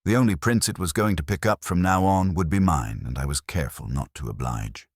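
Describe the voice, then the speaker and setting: A man's voice: low voice, fairly quick, dry recording. A man reading scripted first-person detective fiction as an audiobook narrator, with a wry, dry wit.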